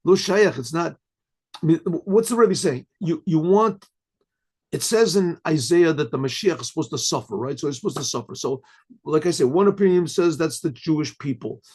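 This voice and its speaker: An older man, a rabbi, giving a questioning sermon-style lecture, with restarts, rhetorical asides and frequent throat clearing. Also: a little high, darkish tone. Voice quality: husky, clears throat often. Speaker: rabbi teaching.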